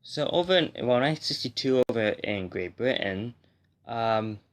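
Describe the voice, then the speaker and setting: A man hosting a music history video, talking casually to viewers in a rambling, self-correcting way, slightly hoarse from getting over a cold. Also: medium pitch, lively intonation, normal speed. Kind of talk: casual explainer talk to viewers.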